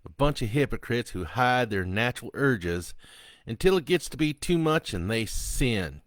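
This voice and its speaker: A man's voice: medium pitch, expressive, moderate pace, no echo, voice pushed up, close to an everyday voice. A man voicing a crude, gloating male killer on death row who mocks a priest. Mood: taunting. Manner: taunting, vulgar, mocking.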